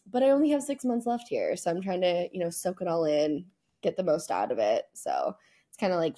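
A woman's voice: on the low side, mellow. A young American woman co-hosting a podcast in casual chat, talking in a chatty, emphatic, slangy way. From United States. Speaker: podcast co-host.

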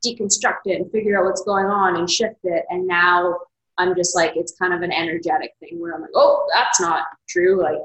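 A woman's voice: fairly low pitch, level tone, normal speed, a bit bright, echoey room, low-quality recording. A woman author and coach talking candidly in an interview, reflective and open, with lots of "like" fillers. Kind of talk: casual interview conversation.

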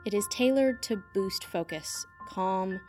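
A woman playing an earnest witch-for-hire who dictates client case files in a measured, businesslike way for an audio drama. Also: mid-range voice, music in the background, close to an everyday voice. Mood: matter-of-fact, reflective. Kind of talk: audio drama monologue as recorded case notes.

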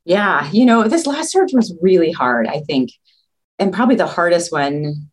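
Low-voiced woman, earnest guest on a call. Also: expressive, moderate pace, breathy edge. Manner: warm, earnest, talks in long run-on thoughts. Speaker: nursing leader, guest. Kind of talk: casual interview chat. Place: remote video call.

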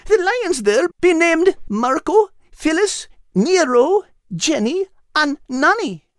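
Little female dog, very high voice, chatty, tender, animated, storybook character. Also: slow pace, no room sound, studio quality, exaggerated voice. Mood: informative.

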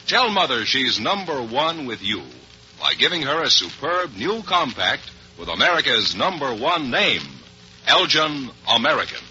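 Man, polished vintage announcer, forceful ringing tone, animated intonation, dull lo-fi radio with faint hiss. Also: mid-range voice. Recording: muffled, faintly noisy old radio broadcast.

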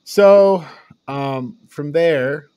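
A man's voice: on the high side, extremely slow, clean tone, no room sound, highs cut off. Casual man recapping, dry close sound. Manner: informal, profane, amused storytelling. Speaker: podcast co-host. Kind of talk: casual film recap chat.

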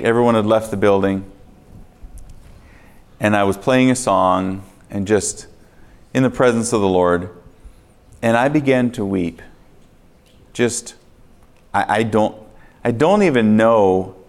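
A man preaching in a church, earnest and swinging between quiet passages and emphatic ones. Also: fairly low pitch, gravelly. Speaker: pastor. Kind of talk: sermon. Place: church sanctuary.

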